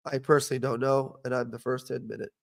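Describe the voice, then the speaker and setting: A man's voice: medium pitch, flat delivery, quick pace, gravelly. A young man who studied dentistry, hosting a casual podcast and giving blunt, opinionated advice with frequent "okay?" check-ins. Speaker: podcast host, dental professional. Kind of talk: casual educational podcast talk.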